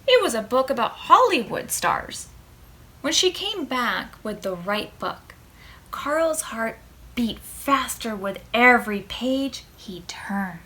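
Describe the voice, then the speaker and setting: A woman reading a picture book aloud to preschoolers as a storytime host, expressive, drawing out words and putting questions to her young listeners. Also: on the high side, slow pace.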